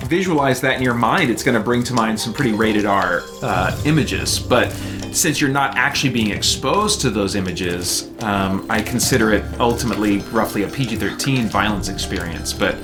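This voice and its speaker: A man's voice: fairly low pitch, moderate pace. Casual man hosting a livestream, music behind. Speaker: livestream host.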